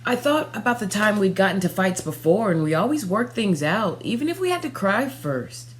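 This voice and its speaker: A woman in her thirties reading a picture book aloud for children, with expressive, deliberate phrasing. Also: medium pitch. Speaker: storytime reader. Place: small furnished room at home, indoors. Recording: faint background noise and hum.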